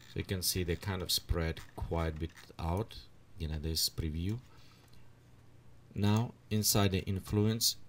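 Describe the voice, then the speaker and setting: Low-voiced man thinking aloud in tutorial. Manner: unhurried, thinks aloud while clicking through settings. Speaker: software tutorial instructor. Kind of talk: screen-recorded software tutorial.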